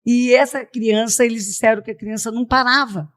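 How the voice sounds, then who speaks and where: A woman's voice: fairly high pitch, fairly even intonation, fairly quick. A middle-aged woman, telling her story as an interview guest and often checking in with "né?". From Piracicaba, São Paulo state, Brazil. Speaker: missionary, podcast guest. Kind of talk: personal testimony in a conversational interview.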